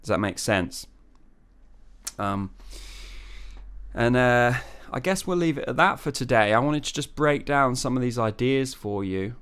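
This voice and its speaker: A man teaching as a voice coach, talking through technique in a relaxed way, with many fillers and restarts. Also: mid-range voice, unhurried, smooth. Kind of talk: singing lesson with sung falsetto demonstrations.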